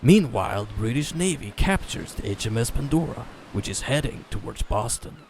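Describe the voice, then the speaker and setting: A man's voice: mid-range voice, expressive, normal speed, a little mellow, slight background noise. Man giving a dramatic story narration.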